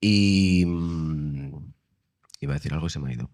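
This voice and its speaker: Brief: male actor, low monotone, halting. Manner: thoughtful, hesitant speech. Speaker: actor on a panel. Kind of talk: panel discussion.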